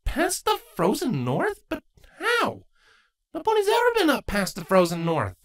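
A woman voicing a bookish, excitable young female changeling princess in a storybook reading, earnest and easily flustered. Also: high-pitched, unhurried, pitched above the performer's own voice, heavily acted. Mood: curious.

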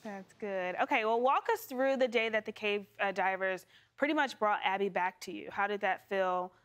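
A woman's voice: medium pitch, moderate pace, fairly bright tone. A woman co-anchoring a studio news show, asking a guest questions in a friendly, polished way.

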